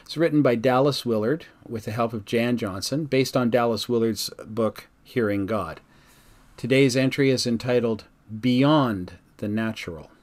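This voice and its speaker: A man speaking as a pastor, reading a devotional in a measured, earnest, formal way. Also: mid-range voice. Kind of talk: devotional reading with reflective address to listeners. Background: background music.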